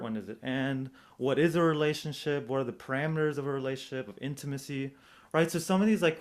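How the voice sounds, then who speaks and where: A man with an American accent giving an informal academic presentation, thinking aloud in a reflective, hedging way and posing rhetorical questions. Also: mid-range voice. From United States, Southern California. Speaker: presenter, domestic violence practitioner.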